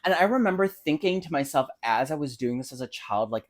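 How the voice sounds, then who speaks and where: A man's voice: a little high, lively intonation, fairly quick, slight background noise. A man from the United States co-hosting a casual book podcast, telling stories in a hyperbolic, opinionated, sweary way with lots of filler words. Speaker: podcast co-host.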